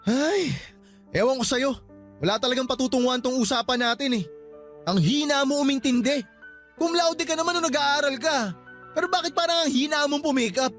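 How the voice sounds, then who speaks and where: A man acting as an insistent, dismissive young boyfriend, defensive and quick to snap. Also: very high-pitched, a bit bright, faint music behind. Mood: scornful. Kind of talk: Filipino radio drama acting.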